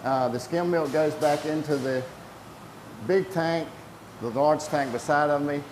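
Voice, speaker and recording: A man's voice: fairly high pitch, unhurried. A middle-aged man explaining a production process step by step in a plain-spoken interview style. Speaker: creamery worker explaining production. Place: indoor creamery processing room with steel tanks. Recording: echoey room, loud equipment noise.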